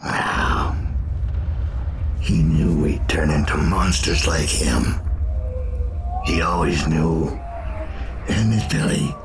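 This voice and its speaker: A man's voice: very deep voice, slight background noise, heavily acted. An actor playing a weary, haunted old assassin in a video game, his voice half-whispered and brooding. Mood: bitter, pleading. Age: older. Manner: slow, brooding delivery. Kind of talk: video game dramatic acting. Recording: music under the voice. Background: music.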